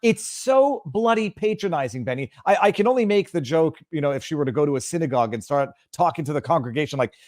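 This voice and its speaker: A man's voice: fairly high pitch, lively intonation, fast talker, few pauses, a little mellow, no room sound. Indignant man from Montreal, dry sound. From Montreal, Canada. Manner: emphatic, stumbles and restarts often. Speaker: guest commentator. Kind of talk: indignant political commentary.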